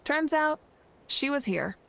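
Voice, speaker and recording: An actress playing a confident, gently amused young leader in a video game, with composed, assured and wry delivery. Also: female voice, sits high, big pitch swings, takes it slowly, gravelly, full character voice. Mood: measured, wry. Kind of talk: English video game voice acting. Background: game music.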